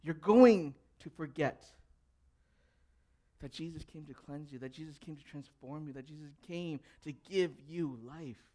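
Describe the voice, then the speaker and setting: A man's voice: a little high, measured pace, mellow. A young adult man, a pastor whose first language was Cantonese, preaching a conversational sermon and putting questions to the congregation. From grew up in an English-speaking country.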